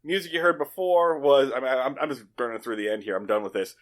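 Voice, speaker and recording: A man's voice: mid-range voice, animated, quick pace. A man co-hosting a podcast in a casual, conversational style. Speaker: podcast co-host.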